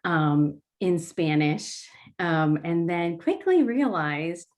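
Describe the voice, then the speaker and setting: Slow, low-voiced woman founder. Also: animated, darkish tone. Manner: slow-talking, many ums. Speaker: startup founder presenting. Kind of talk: casual pitch talk.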